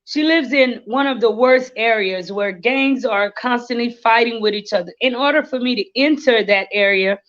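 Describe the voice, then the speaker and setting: Woman, 30s, from Haiti, interview guest, slow and earnest, slightly high voice, lo-fi audio. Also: a bit bright. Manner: slow, earnest, with many fillers. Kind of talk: livestream interview, life story. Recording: lo-fi livestream audio.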